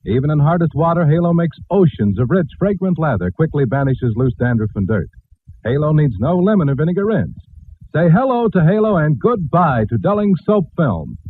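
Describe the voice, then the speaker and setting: Lively male announcer on tinny old radio. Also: on the high side, fairly quick, slightly rough. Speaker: commercial announcer. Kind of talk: 1940s radio advertising. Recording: tinny, noisy vintage radio recording.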